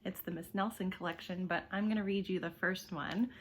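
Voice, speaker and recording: A woman reads a children's storybook aloud with an animated, emphatic delivery and a slightly husky voice. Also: fairly low pitch, fairly quick, slight background noise. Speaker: storybook reader.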